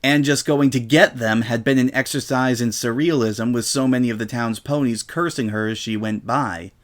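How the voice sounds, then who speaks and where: A man's voice: mid-range voice, fairly even intonation, moderate pace. Man narrating a comedic story. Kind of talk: dramatic reading of comedic fiction.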